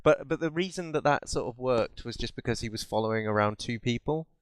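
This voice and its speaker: A man's voice: mid-range voice, fairly quick, clear, resonant tone, dry recording, studio quality. A man co-hosting a podcast, chatting casually about films in a rambling way. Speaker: podcast co-host. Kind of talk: casual film chat.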